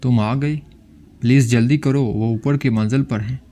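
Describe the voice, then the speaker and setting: A man plays a terrified woman in a suspense story. She pleads urgently for rescue, in a dark, mellow tone. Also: mid-range voice, fairly even intonation, moderate pace, lightly acted. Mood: frightened, pleading.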